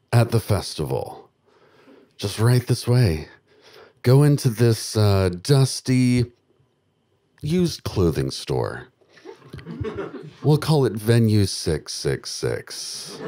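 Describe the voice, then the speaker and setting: A middle-aged man delivering a darkly comic, macabre stage monologue in a musing manner into a handheld microphone. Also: fairly low pitch. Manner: musing, deadpan macabre, chuckling to himself. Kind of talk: dark comedy stage monologue. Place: small dark theatre, live audience. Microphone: handheld microphone close to mouth.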